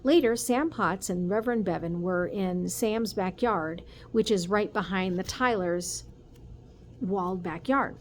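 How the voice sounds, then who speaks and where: A woman voicing a calm, serious police chief father in a storybook, measured and gentle. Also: medium pitch, close to an everyday voice. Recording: noticeable background noise.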